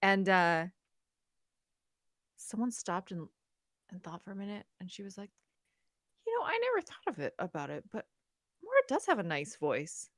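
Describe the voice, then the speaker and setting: A woman co-hosting a podcast in casual chat, chatty and quick to laugh. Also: mid-range voice, big pitch swings, a bit bright, no echo, clean studio sound. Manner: chatty, laughs often, mock whispers. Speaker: podcast co-host.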